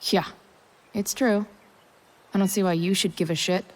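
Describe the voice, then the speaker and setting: Game role, female actor: defiant teenage girl, average pitch, sullen and guarded, blunt delivery. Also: fairly even intonation, moderate pace, natural voice.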